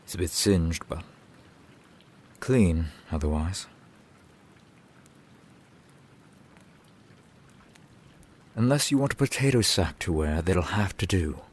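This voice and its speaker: A man playing a gruff, grumbling but reluctantly kind fantasy town blacksmith in a slow, dry ASMR roleplay. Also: low voice. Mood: grudgingly helpful. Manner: curt, grumbling, dry, wordy sarcasm. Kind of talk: ASMR roleplay, slow scripted character acting. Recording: steady rain sound behind the voice. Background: rain falling.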